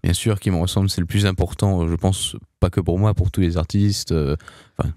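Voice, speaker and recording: A man's voice: deep voice, steady tone, fairly quick, warm tone, no room sound, studio quality. A young man, a singer, answering in a casual radio interview, hesitant with many restarts and fillers. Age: young adult.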